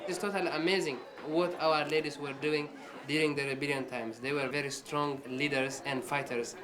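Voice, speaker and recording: A man giving interview answers as a community representative, in halting English. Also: fairly high pitch, fairly even intonation, background noise. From Eritrea.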